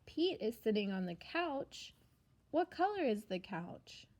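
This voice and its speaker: A woman preschool teacher reading a picture book aloud to young children in simple, sing-song phrases, pausing after questions. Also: a little high, sing-song, darkish tone. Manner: simple short phrases with deliberate pauses for answers.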